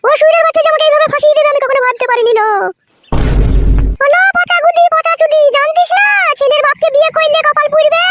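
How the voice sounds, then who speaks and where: A performer voicing a quarrelsome young village woman in a comedy cartoon, shrill, scolding and cursing in a foul-mouthed fury. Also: fairly even intonation, quick pace, heavy background noise. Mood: scornful, furious. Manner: scolding, cursing, wailing taunts. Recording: music behind the voice.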